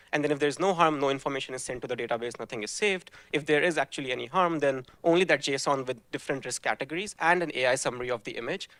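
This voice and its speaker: A man's voice: mid-range voice, a bit bright, no room sound. A man presenting his team's hackathon project, explaining the technical pipeline step by step and often restarting his sentences.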